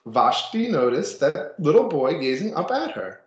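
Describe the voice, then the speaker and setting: A man reads a picture book to children with casual asides. His pitch is lively and expressive, with dramatic emphasis. The home recording sounds somewhat dull and echoey. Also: moderate pace, noisy background. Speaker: storybook reader.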